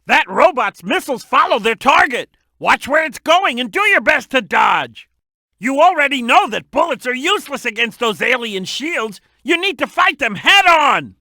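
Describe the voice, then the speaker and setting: A woman voicing an excitable, cheeky little boy animal sidekick in a video game, with a childlike voice and eager, emphatic delivery. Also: high-pitched, normal speed, no echo, clean studio sound, heavily acted. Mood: eager, instructive. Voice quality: youthful, childlike. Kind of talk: video game character hint lines.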